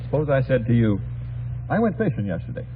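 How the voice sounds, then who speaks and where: A man's voice: medium pitch, big pitch swings, brisk. A male host of an old-time radio comedy show speaks in a mid-range voice with dry, mock-formal wit. The banter is scripted and polite with a sly edge. The recording is narrow and tinny, with faint noise.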